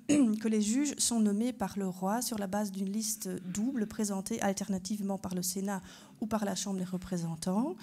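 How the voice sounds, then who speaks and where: German-native woman from belgium, judge giving a talk at a conference, mellow warm tone, lays things out point by point, asks questions and answers them herself. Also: medium pitch. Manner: structured explanatory delivery, poses rhetorical questions. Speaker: constitutional court judge. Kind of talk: conference lecture.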